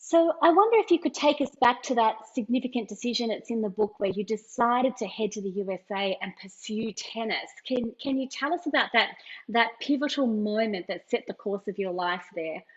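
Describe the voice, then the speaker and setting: A woman's voice: a little high, fairly quick. Upbeat woman hosting a podcast. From Australia. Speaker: podcast host. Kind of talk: casual podcast interview. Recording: dull lo-fi audio.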